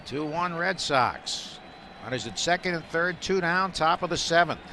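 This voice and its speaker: A male play-by-play announcer delivers live baseball radio commentary in a forceful, bright voice. His pitch sits a little high for a man, and ballpark noise runs faintly behind him. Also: normal speed. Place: broadcast booth at an outdoor ballpark. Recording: steady background noise of ballpark. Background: stadium ambience.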